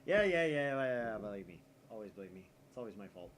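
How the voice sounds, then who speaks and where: A man's voice: on the low side, big pitch swings, fast talker, a little hiss or hum. A man hosting a gaming video, chatting casually in playthrough banter.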